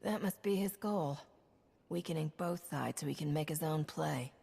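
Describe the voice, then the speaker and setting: A woman's voice: low-pitched, moderate pace, gravelly, mellow, breathy edge, heavily acted. An actress voicing a determined woman hero in a video game, who talks in a direct, firm way.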